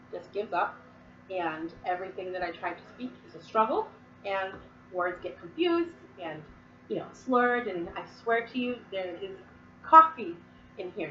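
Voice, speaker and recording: A woman's voice: medium pitch, lively intonation, a little slow, pushed and bright, reverberant, far from the mic, lo-fi sound, a little hiss or hum. A woman from Massachusetts explaining Wiccan verses in casual, rambling talk full of fillers, sniffling and coughing from a cold. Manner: chatty, rambling with many fillers. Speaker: Wiccan teacher.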